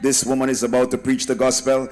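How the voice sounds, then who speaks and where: A man's voice: medium pitch, monotone, quick pace, darkish tone. A man in his thirties, an evangelist, greeting a congregation through a handheld microphone. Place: tented church platform with draped backdrop.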